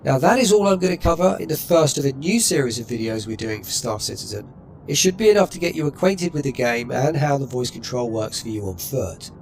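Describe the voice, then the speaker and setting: A man narrating a game walkthrough in a measured, matter-of-fact way. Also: medium pitch, expressive, rough recording. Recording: music playing behind.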